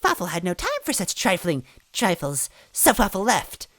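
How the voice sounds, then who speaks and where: A woman's voice: fairly low pitch, big pitch swings, normal speed, fairly bright tone, dry recording, pitched above the performer's own voice. A woman voicing a short, vain, servile bean-man henchman who declaims dramatically in a storybook reading. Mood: boastful. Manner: dramatic, pompous, speaks of himself in third person.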